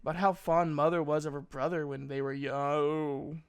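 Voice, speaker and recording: A man's voice: a little high, flat delivery, clear, resonant tone, close to an everyday voice. A man voicing an anxious, earnest young half-elven boy with a hesitant way of speaking, in an audiobook. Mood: uneasy. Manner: hesitant, halting.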